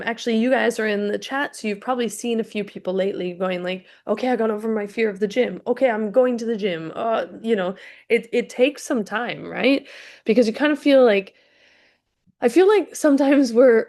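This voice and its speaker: A young adult woman, hoarse from a cold, talking chattily with frequent restarts as a fitness coach in a live session. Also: on the high side, darkish tone. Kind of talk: casual live-streamed talk to a chat.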